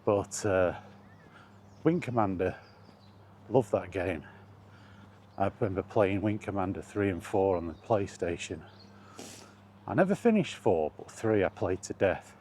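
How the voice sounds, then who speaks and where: A man's voice: low-pitched, expressive, a little slow, long pauses, dark tone. A man rambling casually and easygoingly to himself as a vlogger while out walking, musing with trailing asides. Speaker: solo vlogger. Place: outdoors, walking along streets or paths. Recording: noisy outdoor recording with environmental sounds.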